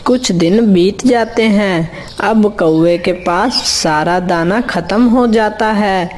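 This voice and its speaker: A woman's voice: mid-range voice, slow, darkish tone, a little hiss or hum. A woman narrating a scripted children's cartoon story.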